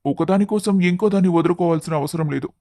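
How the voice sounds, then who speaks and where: High-pitched, encouraging male best friend, acted by a man. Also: fast, warm tone, no echo, studio quality. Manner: advising, motivational. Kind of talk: moral story dialogue for animation.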